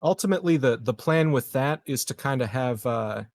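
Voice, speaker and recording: A man playing a tabletop game, talking casually and informally in halting phrases. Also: mid-range voice, lively intonation, darkish tone, no room sound. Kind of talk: casual chat.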